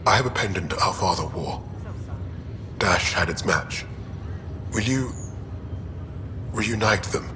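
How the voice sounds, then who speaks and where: A very low, sombre man's voice, a colonist mourning his brother. He talks sincerely and quietly over a noisy rumble. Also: animated, slow pace, pauses often. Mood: grieving, subdued. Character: grieving older brother, a colonist fresh from cryo sleep. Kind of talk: dramatic video game acting.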